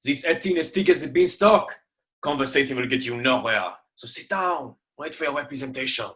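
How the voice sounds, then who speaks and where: A man playing an excitable, worldly French prisoner with a French accent, theatrical, in an irreverent radio play. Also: medium pitch, a little fast. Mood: knowing, cautioning. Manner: theatrical, peppered with French exclamations.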